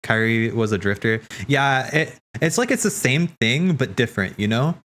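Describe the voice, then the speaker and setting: A man hosting a watch party, giving casual live reaction commentary in a musing, halting way as he thinks aloud. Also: medium pitch, animated, fairly bright tone. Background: game soundtrack music.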